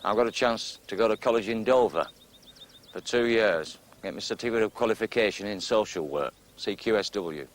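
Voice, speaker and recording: An actor playing a reflective social worker near forty, speaking quietly and earnestly outdoors. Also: male voice, fairly low pitch, a little fast, lightly acted. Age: around 40. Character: troubled man near forty seeking advice. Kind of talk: TV drama dialogue.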